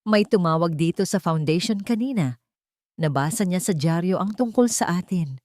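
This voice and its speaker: Woman narrator as elderly man, story drama, kindly, grave, measured phrasing, dry sound. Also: on the low side, animated, a little mellow, no room sound, natural voice. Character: kindly old man bringing grave news.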